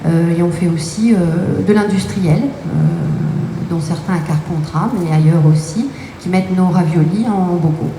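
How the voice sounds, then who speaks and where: A woman with a low, mellow voice explains her business at length, pausing often on fillers. The sound is roomy, with a long reverb and faint noise behind her. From Brittany, France. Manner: explanatory, many hesitation fillers. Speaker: guest, company co-owner. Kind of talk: radio interview answers. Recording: echoey room with faint noise.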